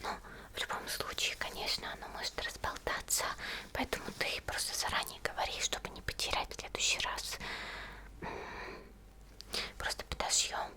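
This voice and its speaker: A woman playing a friendly, attentive monster girl in a whispered ASMR roleplay, speaking gently and unhurriedly in a breathy murmur to the listener. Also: moderate pace, close to an everyday voice. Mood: caring, reassuring.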